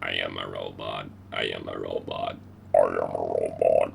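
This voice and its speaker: Extremely deep, croaking robot. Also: male voice, normal speed, background noise, exaggerated voice. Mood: mechanical. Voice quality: gravelly, croaking inhaled vocal fry. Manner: flat, mechanical repetition. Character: monotone robot, made on inward breath.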